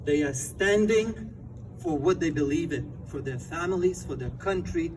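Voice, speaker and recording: A man's voice: a little high, expressive, moderate pace, a little mellow, recorded from a distance, a little hiss or hum, poor audio. Fervent Lithuanian-accented man at a rally. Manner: fervent, emphatic phrasing with rallying cries. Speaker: rally speaker. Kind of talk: impassioned outdoor rally speech. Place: outdoor rally.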